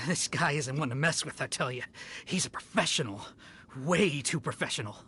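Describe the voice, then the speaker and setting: A man's voice: medium pitch, moderate pace, sharp tone, slight background noise, natural voice. An actor playing a wary male bandit in a video game, talking in an uneasy, grudging way.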